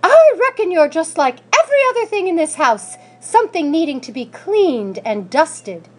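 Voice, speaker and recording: A woman voicing a brisk, bossy household maid in a storybook, with a folksy, huffy, no-nonsense manner. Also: very high voice, big pitch swings, a little mellow, voice pushed up.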